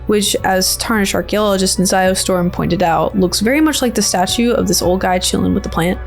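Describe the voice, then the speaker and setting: A woman's voice: medium pitch, moderate pace, creaky, soft background music. A woman giving casual, rambling commentary on a video game trailer in a playful, slangy, informal way. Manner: informal, playful, slangy filler-heavy speech. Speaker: video creator and host.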